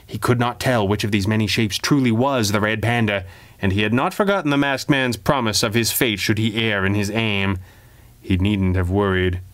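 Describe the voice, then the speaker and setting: A man's voice: fairly low pitch, normal speed. A man reading pulp adventure fiction aloud as dramatic scripted narration. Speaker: audiobook narrator.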